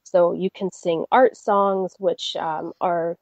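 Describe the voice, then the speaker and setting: Dark-voiced young woman from Canada. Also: on the low side, fairly even intonation, takes it slowly, no room sound. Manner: friendly, many fillers and restarts. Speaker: guest singer. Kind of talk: casual interview answers.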